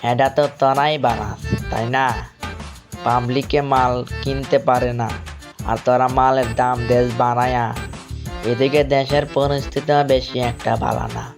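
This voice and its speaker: A man's voice: mid-range voice, little pitch movement, normal speed, lightly acted. A man playing an accusing, argumentative village uncle in a cartoon, with a Bangladeshi accent. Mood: indignant, accusing. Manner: scolding, argumentative. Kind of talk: amateur cartoon dialogue. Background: music.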